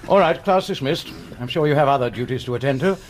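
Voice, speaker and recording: An actor playing a brisk, sardonic, superior British surgeon in a radio drama, with a dry lecturing manner. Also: male voice, mid-range voice, slight background noise, lightly acted. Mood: brisk, sarcastic.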